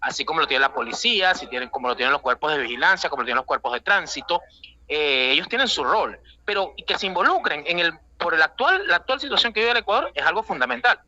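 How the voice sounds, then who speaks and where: A man's voice: on the high side, animated, fast talker, pushed and bright, lo-fi sound. A man with a Venezuelan accent, an international analyst giving emphatic, rambling political commentary with many fillers, calling from an airport. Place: airport waiting area.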